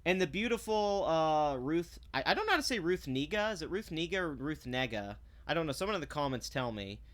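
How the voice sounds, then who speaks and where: A man's voice: on the high side, very animated, brisk, fairly bright tone. A man delivering a sarcastic, blunt commentary rant, swearing freely and stumbling over restarts when he gets heated. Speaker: commentary vlogger. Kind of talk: ranting commentary.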